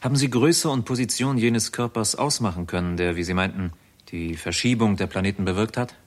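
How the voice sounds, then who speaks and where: A man plays a senior astronomer with a lowish voice and a measured, considered delivery. He is sceptical and formal, a dignified figure from a sixties radio play. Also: lightly acted. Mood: sceptical, calm. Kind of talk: 1960s German radio drama acting.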